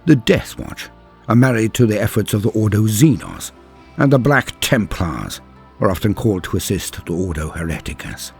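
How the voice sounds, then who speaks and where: Deep-ish husky guy doing dramatic lore narration, really swoops up and down, music behind him. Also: sing-song, a little mellow. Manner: theatrical, portentous, with deliberate pauses. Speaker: narrator and host of a lore channel. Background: background music.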